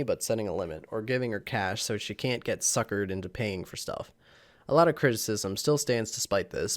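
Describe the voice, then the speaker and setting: Young man, casual vlogger, slightly sick, chuckling, animated pitch. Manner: rambling, chuckling, many fillers. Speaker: channel host reading posts. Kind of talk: casual vlog reading aloud. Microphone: camera mic.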